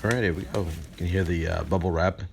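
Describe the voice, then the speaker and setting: A man's voice: deep voice, fairly even intonation, quick pace, vocal fry, crowd noise. A man presenting a video review in an earnest, wordy way, with rather formal phrasing. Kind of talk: scripted review narration with enthusiastic unboxing remarks.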